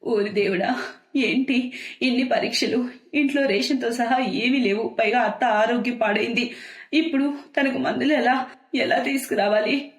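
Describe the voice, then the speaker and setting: A woman's voice: a little high, reverberant, close to an everyday voice. A woman voicing an earnest, devoted young village wife in distress for a moral cartoon story, with a pleading manner.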